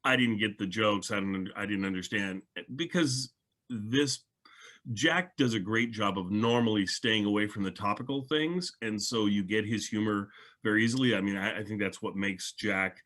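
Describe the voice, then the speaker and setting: Low-voiced man hosting a chatty discussion. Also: moderate pace, fairly bright tone. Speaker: podcast host. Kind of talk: casual panel discussion.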